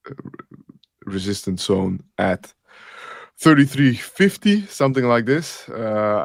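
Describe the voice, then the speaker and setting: A man's voice: lowish voice, gravelly, no echo. A man with an Austrian accent, hosting a live crypto stream and talking through charts with frequent fillers. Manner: rambling, thinks aloud with fillers. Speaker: crypto show host.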